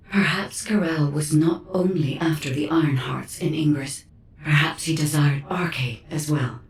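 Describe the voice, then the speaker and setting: An actress playing a solemn, purposeful woman guide in a video game, speaking calmly and deliberately. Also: low-pitched, close to an everyday voice. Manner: calm, deliberate, serious. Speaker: game character. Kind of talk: scripted video game dialogue. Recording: distant, echoey game audio.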